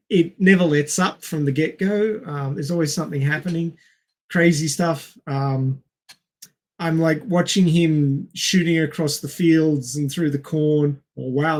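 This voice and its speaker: A man talking casually on a discussion podcast, explaining things in a dry, understated way. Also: fairly high pitch. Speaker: podcast co-host or guest.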